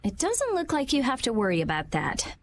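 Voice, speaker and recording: High-voiced brainy teenage girl. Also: huge pitch swings, brisk, a little hiss or hum. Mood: pondering. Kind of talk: animated video game acting.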